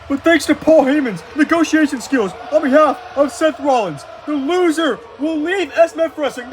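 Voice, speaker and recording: High-pitched, excitable wrestling commentator, acted out. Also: a little hiss or hum, poor audio. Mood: earnest, urgent. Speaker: wrestling commentator and ring announcer. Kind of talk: excited play-by-play commentary of a staged wrestling match.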